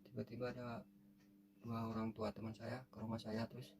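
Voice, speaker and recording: A man's voice: mid-range voice, completely flat delivery, brisk, a little mellow, slight background noise. A man telling his personal story in a casual, rambling way. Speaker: interviewee.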